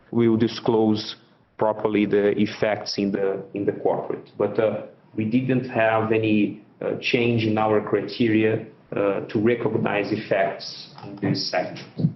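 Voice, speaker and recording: Lowish-voiced man on a dull call line. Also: flat delivery, unhurried. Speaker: finance executive. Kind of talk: explaining financial results on an earnings call. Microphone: conference call line. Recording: muffled conference call audio.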